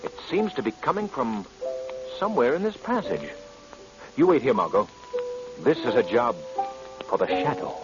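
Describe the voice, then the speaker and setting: An actor playing an assured gentleman detective in a vintage radio drama, with an earnest, clipped, theatrical delivery. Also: mid-range voice, very animated, moderate pace, pauses often, over music, close to an everyday voice. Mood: resolute. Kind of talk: 1940s radio drama acting. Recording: dull old radio recording.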